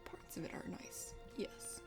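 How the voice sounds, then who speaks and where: A woman's voice: unhurried, over music, lightly acted. A woman voicing a proud but bashful young hunter prince with a crush, haughty and easily flustered, in an ASMR play-through of a dating game. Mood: wistful, soft.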